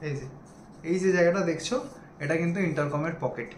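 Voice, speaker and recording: A man's voice: fairly high pitch, fast. A man giving a casual, explanatory hands-on product demonstration, talking straight to his viewers. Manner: explanatory, addresses viewers directly. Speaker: motovlogger reviewing gear. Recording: echoey room, faint background noise.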